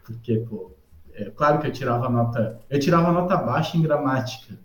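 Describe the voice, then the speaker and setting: A man, a podcast guest telling stories in a relaxed, rambling way, often tagging sentences with "tá?" and "sabe?". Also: mid-range voice, a little fast. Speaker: podcast guest, civil engineer. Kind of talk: casual storytelling. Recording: roomy echo.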